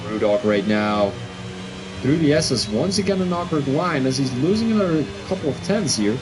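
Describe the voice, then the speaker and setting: A man from Belgium with a Belgian accent, a Dutch speaker commentating a sim race live, excitable and quick to second-guess his calls. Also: mid-range voice, over music, rough recording.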